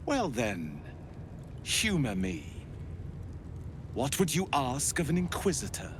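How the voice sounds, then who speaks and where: An actor playing an imperious, devout warrior inquisitor in a video game, speaking formally with cold courtesy and menace. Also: male voice, mid-range voice, wildly swooping pitch, background noise. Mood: cold, commanding. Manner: formal, measured, commanding. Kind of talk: video game cutscene acting.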